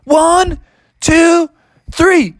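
A man voicing an excitable, upbeat young daredevil adventurer in a children's story, exclamatory and enthusiastic. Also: very high voice, extremely slow, no room sound, pitched above the performer's own voice, heavily acted. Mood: determined.

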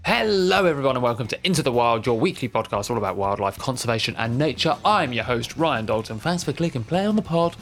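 Tired guy hosting a podcast, bit croaky, chatty and self-deprecating. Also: mid-range voice, very animated, quick pace, hardly any pauses, a bit bright, soft background music. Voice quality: slightly croaky. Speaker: podcast host.

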